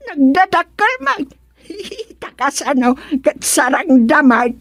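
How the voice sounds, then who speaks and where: Woman as a gossipy village woman, Ilocano accent, high and unhurried, dry studio sound. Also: very animated, no room sound, exaggerated voice. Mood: teasing. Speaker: village woman in radio comedy. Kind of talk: Ilocano radio drama acting.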